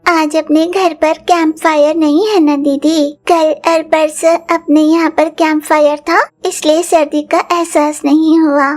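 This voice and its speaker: A woman voicing the youngest bird daughter in a cartoon, gently reasoning with her older sister. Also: high-pitched, level tone, a little slow, hardly any pauses, soft background music. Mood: gentle.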